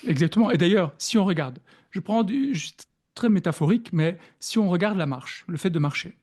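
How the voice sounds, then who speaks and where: Belgian man, soft-spoken and mellow. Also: high voice, lively intonation, fairly quick. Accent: Belgian French. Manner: reflective, hesitant with frequent fillers. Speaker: productivity coach, guest. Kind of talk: podcast interview.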